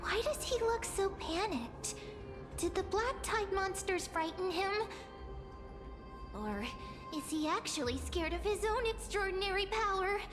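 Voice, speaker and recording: A woman's voice: very high voice, expressive, measured pace. A woman voicing a small, childlike fairy companion creature, curious and chirpy, in a video game. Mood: puzzled. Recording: long hall reverb, game music.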